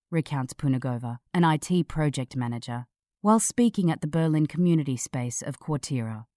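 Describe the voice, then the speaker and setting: A woman narrating a scripted news feature in a measured, clearly phrased read-aloud manner. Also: lowish voice, warm tone, no room sound, studio quality. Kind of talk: scripted narration of a journalistic article.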